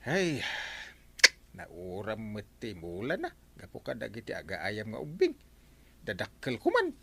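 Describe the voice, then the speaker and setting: A man playing a teasing, excitable village captain in a comedic Ilocano radio drama, with an Ilocano accent and lots of exclaiming. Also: lowish voice, unhurried, vocal fry, noisy background, heavily acted. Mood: explaining. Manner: animated, given to exclaiming and chuckling.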